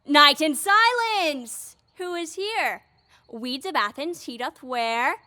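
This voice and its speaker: A woman playing a high-voiced mischievous fairy. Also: lively intonation, slow, sharp tone, full character voice. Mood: searching, impatient. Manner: theatrical, exclaiming. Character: mischievous fairy servant with a magic flower. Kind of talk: Shakespearean verse audio drama acting. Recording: music under the voice.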